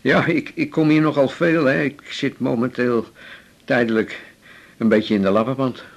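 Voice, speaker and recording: Actor as a gravelly, short-winded older man. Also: mid-range voice, fairly even intonation, lightly acted. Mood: chatty, wheezy. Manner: slow, chatty, halting. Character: chatty, ailing older male regular patient. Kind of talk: 1980s radio drama acting.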